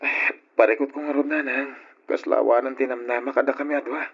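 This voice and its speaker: A man's voice: mid-range voice, steady tone, a little fast, breathy edge. A man playing a lovesick, worried young nephew working abroad in an old radio drama, with a plaintive Filipino accent. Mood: sad confiding. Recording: dull old radio recording, music behind.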